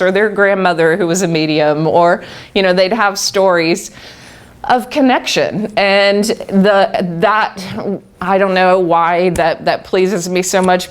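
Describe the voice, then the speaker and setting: A middle-aged woman telling a group her own story, laughing often and restarting her sentences. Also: fairly low pitch. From Texas. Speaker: guest speaker. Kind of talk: personal talk to a live group.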